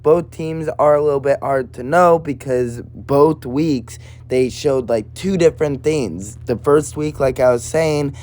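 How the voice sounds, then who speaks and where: A man with a raspy, slightly hoarse voice, hosting casual sports prediction commentary in a matter-of-fact way. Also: mid-range voice, measured pace, few pauses, darkish tone. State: hoarse from partly lost voice. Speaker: sports prediction video host.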